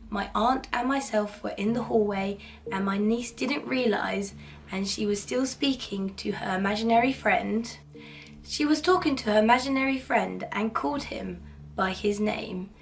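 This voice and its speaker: Cheerful woman host with a croaky cold voice reading creepy tales, recorded slightly back from the mic. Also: mid-range voice. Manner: cheerful, chatty, playfully spooky. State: hoarse from a cold. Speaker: horror storytelling channel host. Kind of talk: casual spooky story narration. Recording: slightly distant mic, faint background noise.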